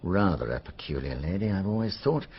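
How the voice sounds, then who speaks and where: An actor playing an older retired British police superintendent in a radio drama, practical, informal and musing. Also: male voice, deep voice, lively intonation, brisk, slight background noise. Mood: speculative. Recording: slightly dull radio recording.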